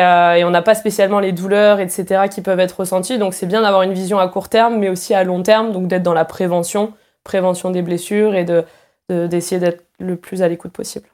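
Measured woman explaining on a radio panel. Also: medium pitch, a little mellow. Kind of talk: radio discussion.

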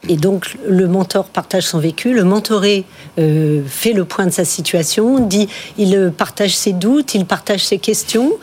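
Woman calmly explaining as a guest. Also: medium pitch, unhurried, husky. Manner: steady, explanatory. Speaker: mentoring expert guest. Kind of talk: interview explanation.

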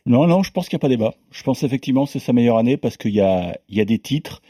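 Lowish warm-voiced guy, stumbles and restarts a lot, dry sound. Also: expressive, very fast, breathy edge, dry recording. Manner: hesitant, many restarts. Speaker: tennis journalist guest. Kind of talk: casual podcast discussion.

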